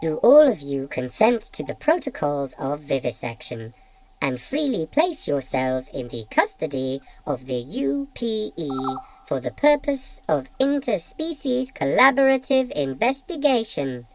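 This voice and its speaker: A woman's voice: low-pitched, very animated, measured pace, slight background noise, full character voice, rough recording. A woman playing a translator voice box that intones formal official announcements in an audio drama. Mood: coolly official. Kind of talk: scripted audio fiction acting.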